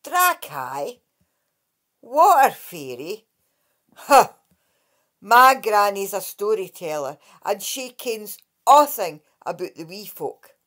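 A woman's voice: medium pitch, very animated, slow, fairly bright tone, full character voice. A woman playing a blunt young Scottish girl. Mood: curious. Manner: blunt, curious. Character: bored girl nearly a teenager, blunt.

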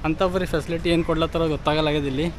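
A man's voice: fairly high pitch, little pitch movement, very fast. A man, vlogging a trip by camera at arm's length, chatting wryly to viewers. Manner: chatty, wry and grumbling, addresses viewers directly. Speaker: travel vlogger. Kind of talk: walking travel vlog, talking to camera. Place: outdoors on busy city streets, bus stand and riverside. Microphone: handheld action camera at arm's length. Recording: loud street traffic noise behind.

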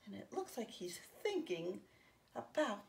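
Slow, very animated woman reading to children. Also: mid-range voice, creaky. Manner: expressive, simple explaining for small children. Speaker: children's story time host. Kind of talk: read-aloud to young children with asides. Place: home living room, indoors.

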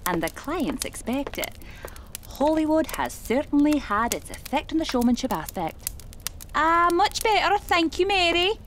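Lively, genteel seasoned medium played by a woman. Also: high-pitched, slight background noise, heavily acted. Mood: brisk, gracious. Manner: genteel, measured, dramatic storytelling. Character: seasoned, genteel female spiritualist medium, confident and theatrical. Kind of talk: radio play acting.

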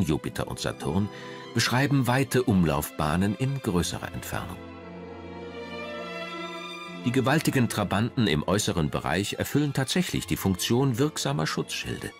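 Deep voiced guy narrating a documentary, lively rise and fall, music playing behind him.

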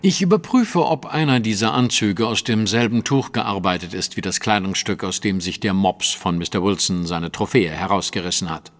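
An actor playing a precise, superior, analytical detective in an audio drama, with a didactic and pointed delivery. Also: male voice, lowish voice, normal speed, hardly any pauses, in a large hall, faint music behind, close to an everyday voice.

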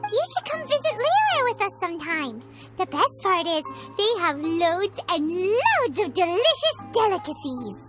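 A woman voicing a tiny floating girl companion in a video game, excitable and chirpy, who calls herself by name. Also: extremely high pitch, measured pace, exaggerated voice. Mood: eager. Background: game music.

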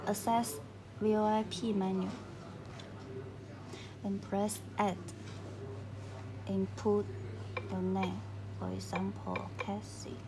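A woman giving step-by-step instructional narration in a halting, simple way of phrasing. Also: medium pitch, flat delivery. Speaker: tutorial narrator. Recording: clearly noisy recording with room ambience.

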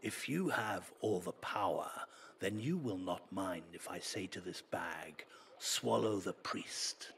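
A man's voice: low voice, animated, slow, vocal fry, a bit bright, slight background noise, heavily acted. A man voicing a bold, challenging magician from a folk tale, speaking emphatically. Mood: demanding.

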